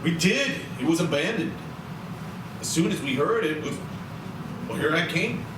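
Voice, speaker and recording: A man's voice: a little high, lively intonation, normal speed, close to an everyday voice. A man playing a defensive police officer giving a report in a screenplay read. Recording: very echoey, distant, noisy.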